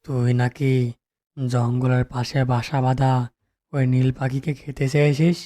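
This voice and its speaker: A man voicing a stern, fed-up tiger who rules the jungle in a children's cartoon, gruff and commanding. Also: medium pitch, level tone, measured pace, dark tone, close to an everyday voice. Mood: stern, annoyed.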